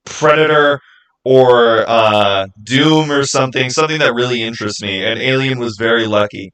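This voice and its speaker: A man's voice: medium pitch, fairly bright tone, poor audio. A man chatting informally as a livestream host, answering viewer questions and rambling as he thinks aloud. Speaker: livestream host and game master.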